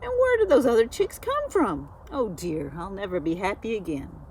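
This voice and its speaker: A woman voicing an anxious, fussing mother hen in a children's storybook, excited and fretful. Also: medium pitch, normal speed, slight background noise, lightly acted. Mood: distressed. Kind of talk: children's storybook character reading.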